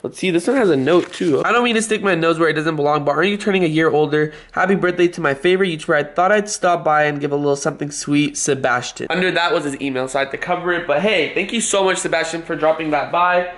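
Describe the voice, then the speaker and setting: A man's voice: on the high side, fast, few pauses, fairly bright tone. A young adult American man chatting casually as a vlogger, with youthful, slangy talk. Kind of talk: casual vlog chat. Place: inside a family house. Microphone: handheld camera at arm's length. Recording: clear background noise, handheld vlog camera.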